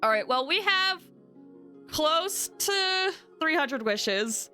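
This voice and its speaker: A woman game streamer chatting casually with her viewers in an excitable, chatty manner. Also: high voice, slow, soft background music. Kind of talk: casual livestream chat with viewers.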